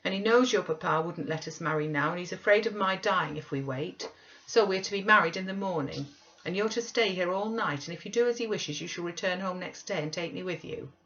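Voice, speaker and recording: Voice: medium pitch, a little fast, bright, forceful tone, pitched above the performer's own voice. A reader voicing a sickly, spoiled young man with a whiny, peevish manner in an audiobook. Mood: smug. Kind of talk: audiobook reading. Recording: dull lo-fi recording.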